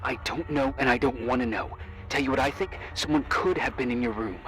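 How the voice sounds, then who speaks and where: Man acting bright-voiced, earnest, worried guy. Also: medium pitch, brisk, soft background music, close to an everyday voice. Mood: uneasy. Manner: earnest, pleading, insistent. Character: concerned, cautious man urging a woman to safety. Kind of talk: naturalistic video game voice acting.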